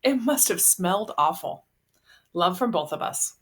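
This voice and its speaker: A woman voicing a loving, proud mother's letter in an affectionate, gently encouraging way, as part of a story reading. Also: fairly low pitch, lively intonation, unhurried.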